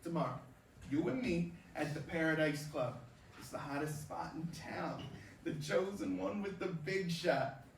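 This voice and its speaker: A man's voice: fairly high pitch, a little slow, vocal fry. A man on stage playing a cocky, crude veteran baseball star who brashly and slyly schools a rookie in slangy tough-guy talk. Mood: swaggering, coaching. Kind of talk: staged reading of a play, theatrical dialogue. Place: indoor performance space, recorded from afar. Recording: roomy distant stage recording, background noise.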